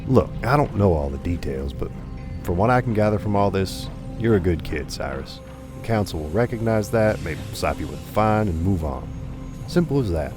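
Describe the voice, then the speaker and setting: A man's voice: low voice, lively intonation, moderate pace. A man playing an easygoing, encouraging crewmate in a sci-fi audio drama. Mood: reassuring. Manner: casual, encouraging. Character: reassuring, easygoing male crewmate. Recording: music under the voice.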